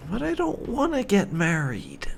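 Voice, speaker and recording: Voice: mid-range voice, wildly swooping pitch, a little slow, vocal fry, soft background music, voice pushed up, heavily acted. A gamer voicing a reluctant, grumbling young elf bride in a whining, sarcastic way. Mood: protesting.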